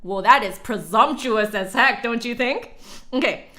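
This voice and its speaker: A woman's voice: on the high side, unhurried, bright, forceful tone. A woman livestream host reading an old text aloud dramatically, breaking off for informal, chatty asides to viewers.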